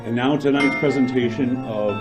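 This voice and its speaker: A man narrating an old-time radio suspense drama in a theatrical, measured storytelling manner. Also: medium pitch, fairly even intonation, close to an everyday voice. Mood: dramatic. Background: music.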